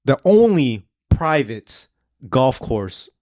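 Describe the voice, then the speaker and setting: A man hosting a podcast, talking in a relaxed, chatty way that is full of "like" and "you know". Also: mid-range voice, slow pace, no echo, clean studio sound. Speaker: podcast host. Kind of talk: casual chat. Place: podcast studio.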